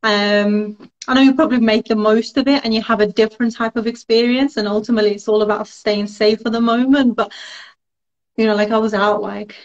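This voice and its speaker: A woman's voice: a little high, steady tone, brisk, lo-fi sound. A woman in her thirties, born in Russia and raised in London, chatting casually as an interview guest over a webcam call, with a rambling style full of fillers. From born in Russia, grew up in London. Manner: chatty, rambling, many fillers and quoted asides. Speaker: solicitor and law firm partner, interview guest. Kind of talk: casual interview chat on a live video call. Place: small room at home, indoors. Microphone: video call webcam.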